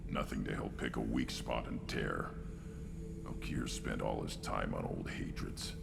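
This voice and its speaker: Hulking young alien brute: extremely low, slow growl, brooding, clipped phrases, game dialogue. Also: male voice, very noisy, full character voice. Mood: curt, dismissive. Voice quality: gravelly, guttural growl. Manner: terse, halting, clipped phrases. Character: young tank-bred hulking alien warrior, brooding and blunt.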